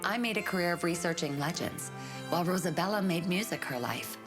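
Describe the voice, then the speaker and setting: A woman's voice: lowish voice, animated, a little fast, over music, natural voice. A woman voicing an earnest researcher heroine who narrates a video game story. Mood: proud, warm. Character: earnest female legend researcher, the game's heroine. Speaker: protagonist narrator.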